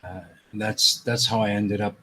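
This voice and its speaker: A man's voice: fairly low pitch, flat delivery, measured pace, pushed and bright, a little hiss or hum. A man who grew up in Canada with Dutch as his first language, hosting a casual live stream, rambling and repeating himself as he reads viewers' chat.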